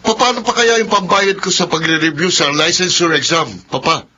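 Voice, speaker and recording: Reproachful young man, higher voice. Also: moderate pace, pushed and bright. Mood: resentful, reproachful. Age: young adult. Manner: reproachful, insistent. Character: resentful college-age son, anxious and reproachful toward his father. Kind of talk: radio drama acting. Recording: muffled, noisy radio broadcast.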